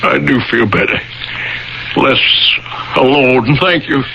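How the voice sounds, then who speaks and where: A man's voice: mid-range voice, slow, vocal fry. An actor playing a tormented, guilt-ridden, self-loathing male author in a radio drama, speaking with agitated, repetitive, anguished phrasing. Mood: subdued. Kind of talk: old-time radio drama acting. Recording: dull, faintly noisy 1980 radio broadcast.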